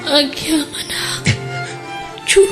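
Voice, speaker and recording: A woman performs a selfless dying sweetheart in an Ilocano audio drama. Her high, tender voice pleads slowly in a Filipino Ilocano accent. Music is clearly heard behind her.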